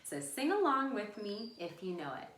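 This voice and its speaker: A woman's voice: mid-range voice, sing-song, moderate pace, a bit bright. A woman hosting an interactive storytime for young children, encouraging them and giving simple instructions. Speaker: children's library storytime host. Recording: echoey room sound.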